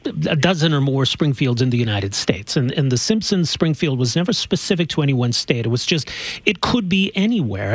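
Lively-pitched enthusiastic male host. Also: no echo. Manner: enthusiastic, affirming interviewer. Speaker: podcast host. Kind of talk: interview questions.

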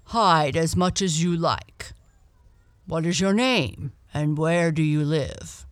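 A big shaggy dancing bear from a storybook, voiced by a woman. He speaks out loudly and slowly, with hearty, kindly emphasis. The sound is close and dry. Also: sits low, no room sound.

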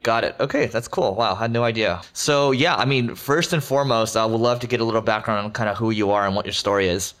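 A man's voice: lowish voice, quick pace, hardly any pauses, a bit bright. A man hosting a business podcast, asking casual interview questions and often stumbling over his words. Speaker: podcast host.

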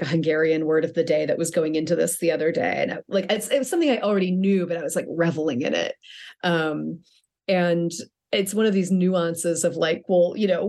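A woman's voice: medium pitch, a little mellow. A middle-aged woman author answering interview questions in a chatty way, often restarting her sentences and laughing lightly.

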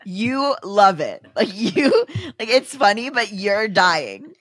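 A woman's voice: medium pitch, very animated, slow, fairly bright tone, no room sound. A woman co-hosting a comedy podcast with wry, teasing, casual banter.

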